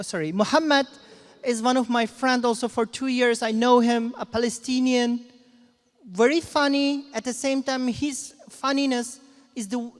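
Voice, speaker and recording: A man who speaks English as a second language, moderating a panel informally with halting, repetitive phrasing. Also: extremely high pitch. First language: not English. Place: event hall at a live panel. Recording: echoey hall with long reverb.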